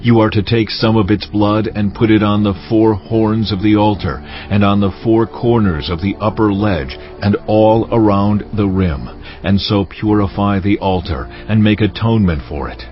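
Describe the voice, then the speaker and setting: Male actor's deep voice of God, majestic, commanding, deliberate, scripture drama, music behind. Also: natural voice. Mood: solemn, commanding. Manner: solemn, deliberate, authoritative. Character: God speaking solemnly from inside the temple, majestic and commanding. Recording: slightly muffled audio over background music.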